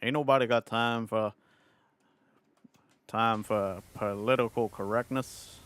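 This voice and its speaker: A man's voice: mid-range voice, fairly even intonation, slow pace, fairly bright tone, clear, resonant tone. British man putting on a Southern cowboy drawl. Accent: put-on American Southern cowboy drawl. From United Kingdom. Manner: self-conscious, profane, playful rambling in a put-on accent. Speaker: livestreamer talking to chat. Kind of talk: casual livestream chat.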